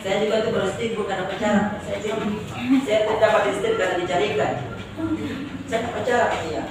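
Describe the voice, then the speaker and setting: A man's voice: high voice, fairly even intonation, fast, distant mic. A male law lecturer with a mixed Makassar and Javanese Indonesian accent, teasing his students and tagging his sentences with "ya". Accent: Indonesian, mix of Makassar and Javanese. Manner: teasing students, frequent "ya" tags. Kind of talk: joking classroom lecture. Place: university lecture room. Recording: echoey classroom from afar, noisy.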